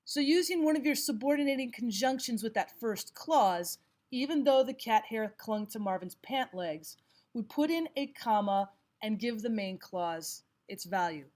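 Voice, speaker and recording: Woman, slightly high voice, teacher, scripted lesson narration, deliberate explanatory delivery. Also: lively intonation, a little mellow. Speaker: language arts teacher.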